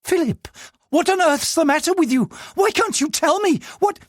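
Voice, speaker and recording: Narrator putting on a shrill indignant wife. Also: animated, normal speed, dry recording, clean studio sound. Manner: shrieking, complaining. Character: shrill indignant wealthy wife, self-pitying. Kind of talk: audiobook character voice.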